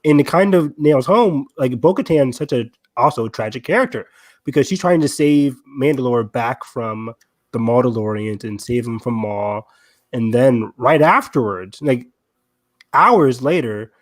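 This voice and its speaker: A man's voice: medium pitch, lively intonation, unhurried. A man co-hosting a fan podcast, talking in a casual, conversational way. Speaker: podcast co-host.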